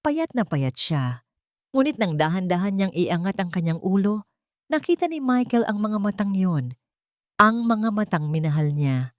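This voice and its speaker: A woman's voice: mid-range voice, big pitch swings, unhurried, no echo, clean studio sound. A woman reading a scripted, dramatic audio story as a storyteller.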